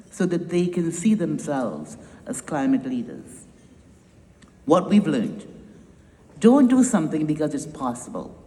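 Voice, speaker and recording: A woman's voice: lowish voice, mellow. A woman from Trinidad with a Trinidadian accent, founder of a nonprofit giving an emphatic, rousing speech with wry humor. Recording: echoey hall with long reverb.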